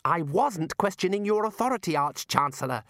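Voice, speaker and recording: A man's voice: high voice, expressive, normal speed, a bit bright, dry recording, studio quality, voice pushed up. A man doing a fussy, earnest young wizard. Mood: exasperated. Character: earnest young male wizard scholar, exasperated.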